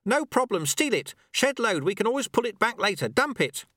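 A man voicing a bossy, urgent old monk in an audiobook, barking orders in a commanding, shouting manner. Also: high voice, big pitch swings, moderate pace, dry recording, clean studio sound, voice pushed up. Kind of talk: audiobook character voice.

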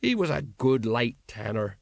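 A man's voice: medium pitch, sing-song, measured pace, gravelly, no room sound, studio quality. A man voicing an older male city watch sergeant who talks sadly and rambles through stories. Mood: wistful. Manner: sad, rambling, tells stories.

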